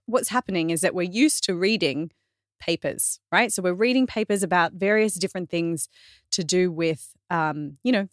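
Woman, podcast guest, fitness trainer, lowish pitch, dry close sound, earnest and chatty. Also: no room sound. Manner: animated and earnest, many "like" fillers, self-corrections. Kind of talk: casual podcast conversation.

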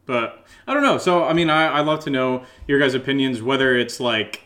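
A man with a medium-pitched voice co-hosts a casual question-and-answer podcast. He thinks out loud informally, a little hesitant, and leans on the word "like" as he goes. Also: lively intonation, fairly quick. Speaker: podcast co-host. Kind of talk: casual unscripted Q&A chat.

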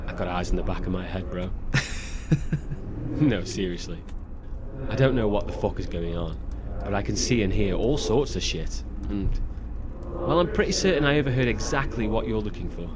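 A man's voice: on the low side, animated, normal speed, natural voice. A man acting a teasing, stumbling, sweary brother haunted by otherworldly sight in a horror audio drama, with vehicle noise behind him. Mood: wry.

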